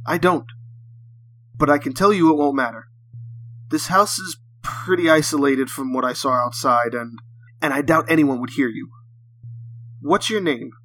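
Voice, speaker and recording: A man voicing a troubled, hesitant would-be killer in a story, speaking in a measured, probing way. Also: medium pitch, animated, pushed and bright, slightly rough. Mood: controlled, probing. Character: bereaved, hesitant man with a gun, seeking a kill.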